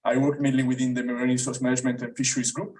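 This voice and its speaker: A man's voice: medium pitch, little pitch movement, very fast, darkish tone. A young man from Italy with an Italian accent, giving a hesitant academic talk full of ums and uhs as a student presenter. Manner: hesitant, many fillers. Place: lecture room. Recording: voice from a distance in a lecture room.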